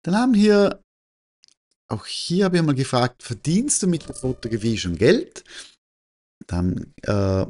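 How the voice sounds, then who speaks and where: A man with an Austrian-Swiss German accent talking informally and presenting survey results, halting and correcting himself as he thinks aloud. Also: mid-range voice, very animated, darkish tone. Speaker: photography teacher and newsletter host.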